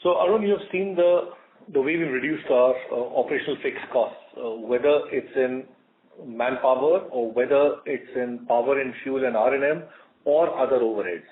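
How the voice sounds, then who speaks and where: A man with an Indian accent answering as a company executive on an earnings call, measured and emphatic, in short chunks. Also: mid-range voice, slight background noise. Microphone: conference call line. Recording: muffled narrowband conference call audio.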